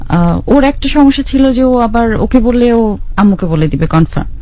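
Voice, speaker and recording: A woman's voice: fairly high pitch, animated, normal speed, no room sound, lo-fi sound. A woman telling her life story in a casual interview, hesitant, with many fillers and restarts.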